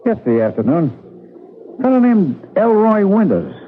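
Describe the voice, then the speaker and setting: A man's voice: high voice, very animated, moderate pace. A man playing an easygoing, practical post office worker, casual and breezy, in a vintage radio mystery. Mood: casual, curious. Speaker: radio drama actor. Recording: tinny, noisy old radio broadcast.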